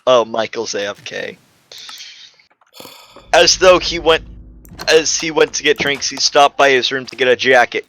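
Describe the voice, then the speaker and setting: A man's voice: mid-range voice, measured pace, long pauses, sharp tone. A man giving an amateur dramatic reading of a story, stumbling over the text and breaking off into casual, exasperated asides. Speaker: reader of a fan fiction story. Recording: faint background noise.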